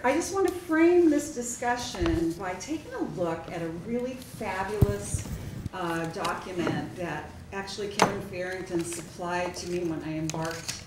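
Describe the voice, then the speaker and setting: A woman speaking as a city councilor, giving an informal presentation at a public meeting in halting, measured phrases. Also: lowish voice. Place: indoor council meeting room. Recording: echoey, noisy meeting room, distant mic.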